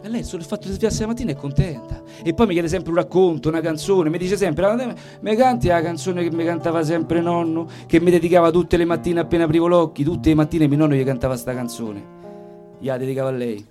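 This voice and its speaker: A man's voice: fairly high pitch, fast talker, over music. A man with a Roman Italian accent performing a colloquial, folksy comic monologue live, full of little asides. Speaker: stage performer, storyteller and singer.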